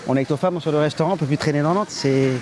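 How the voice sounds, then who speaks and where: Brief: middle-aged man from France, street interview, rapid, insistent, fretful. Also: fairly high pitch, crowd noise. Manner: worried, insistent, repeats himself. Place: city street outdoors.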